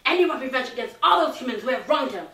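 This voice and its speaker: Bright-voiced woman, distant and roomy. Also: high-pitched, lively intonation, fast. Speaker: performer in a student comedy. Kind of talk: comic acting of fairy-tale figures.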